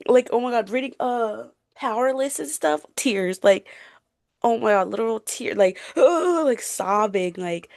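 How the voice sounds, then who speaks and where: A woman's voice: on the high side, animated, a little slow, vocal fry, a little mellow, dry recording, studio quality. A woman chatting casually and emphatically about books as a podcast co-host, in an informal way. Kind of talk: casual book chat.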